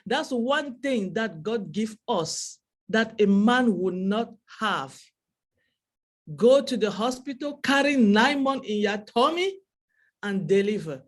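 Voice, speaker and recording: A woman's voice: on the high side, lively intonation, slow pace. A woman from Cameroon whose first language is French, speaking with a Cameroonian French accent in a heartfelt speech to an online audience, repeating phrases for emphasis. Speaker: webinar speaker.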